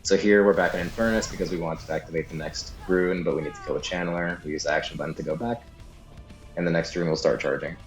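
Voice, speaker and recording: Very deep-voiced man explaining a game. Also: steady tone, fast. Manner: casual, explanatory, hedging. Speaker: guide narrator. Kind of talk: video game walkthrough commentary, unscripted. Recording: slightly echoey room, game music underneath. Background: game music.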